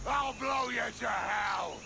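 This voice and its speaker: An actor playing a deranged, vengeful pirate captain in a video game, ranting and taunting with violent glee. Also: male voice, extremely high pitch, slow, gravelly, noisy background, full character voice. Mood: murderous rage. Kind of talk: dramatic video game acting.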